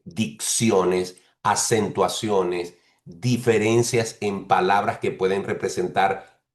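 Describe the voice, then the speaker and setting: A man preaching a teaching sermon who explains slowly and restates each point several ways. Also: sits low, reverberant. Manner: explains patiently, repeats and rephrases points; speaks bilingually of Spanish and English.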